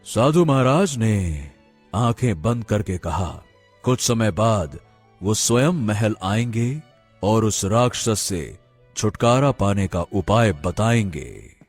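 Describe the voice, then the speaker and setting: Man acting cartoon king, worried, solemn, halting, respectful, rough husky voice, faint music behind. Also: lowish voice, expressive, heavily acted. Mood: earnest. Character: worried, solemn king burdened by a demon's threat. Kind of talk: animated moral-story acting.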